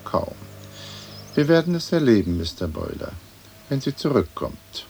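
An actor playing a haughty, sardonic English lord in a radio play, with an aloof, dry, precise way of talking, on an old recording. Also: male voice, medium pitch, sing-song, a little slow, darkish tone, slight background noise. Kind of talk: German radio play acting.

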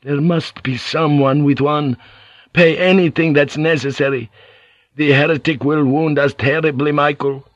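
Man voicing a worried priest, higher and urgent, dry close recording. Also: steady tone, unhurried, dry recording, muffled recording, close to an everyday voice. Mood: anxious. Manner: raised, urgent and fretful. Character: anxious, fervent male Jesuit priest.

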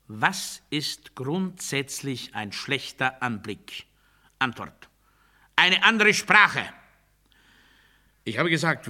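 An actor playing a menacing, self-righteous authoritarian orator in a satirical radio play monologue, declaiming pompously with emphatic rhetorical delivery. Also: male voice, on the high side, sharp tone. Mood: smug reminiscing.

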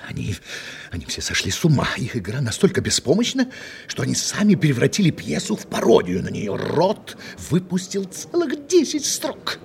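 An actor playing an excitable, theatrical man of the theatre in a radio play. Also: a little high, normal speed, creaky, slight background noise, heavily acted. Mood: alarmed, exasperated. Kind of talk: dramatic radio play acting.

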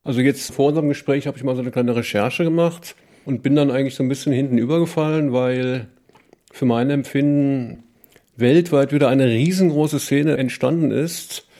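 A man's voice: mid-range voice, normal speed, dark tone. A man talking casually as an interviewee.

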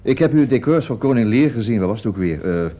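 An actor playing an effusive, vain veteran stage actor in a radio drama, gushing theatrically and repeating himself. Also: male voice, mid-range voice, lively intonation, fast, close to an everyday voice. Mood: affable, chatty. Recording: dull, noisy old radio play recording.

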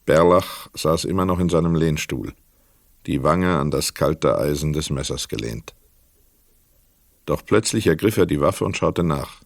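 A man narrating a literary crime radio play as a sober storyteller, measured, precise and dramatic. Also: very deep voice, creaky, no echo, studio quality, heavily acted.

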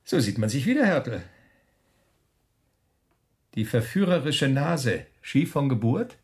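A man acting in a German radio play as a smug, menacing officer who taunts a prisoner with mocking, drawn-out questions. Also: medium pitch, sing-song, darkish tone.

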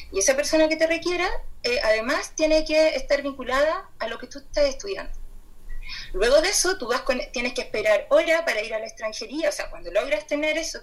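A woman from Chile with a Chilean accent and a fairly high voice. She speaks off the cuff as a participant in an online group discussion, often hesitating as she looks for words. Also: poor audio. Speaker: doctoral student and care worker speaking as participant. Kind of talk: spontaneous contribution to an online group debate. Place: online video call.

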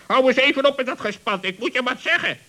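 A man voicing an officious animal companion of a gnome, with a sharp, forceful voice in a children's radio play. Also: very high voice, lively intonation, fast, exaggerated voice. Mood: brisk, complaining.

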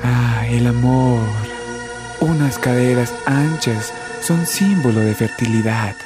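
Man playing materialistic Cupid, Colombian accent, teasing, slow drawn-out delivery, radio sketch, music behind. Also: mid-range voice, natural voice. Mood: smug. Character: mischievous, materialistic male Cupid pushing his love arrows. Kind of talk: scripted radio comedy sketch.

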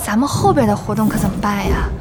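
A woman's voice: mid-range voice, fast, natural voice. An actress playing a harried female event staffer in an audio drama, deferential and imploring. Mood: worried pleading. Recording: music behind.

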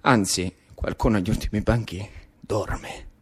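A man's voice: fairly low pitch, fairly even intonation, measured pace, slightly rough. A man with a Roman accent performing a dramatic storytelling monologue, building incantatory lists and repetitions. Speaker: actor-narrator.

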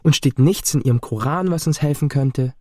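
A young male actor in a radio play playing a cheeky, quick-witted teenage boy with a teasing, questioning manner. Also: mid-range voice, a little mellow, no room sound, studio quality, natural voice. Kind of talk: German radio play acting.

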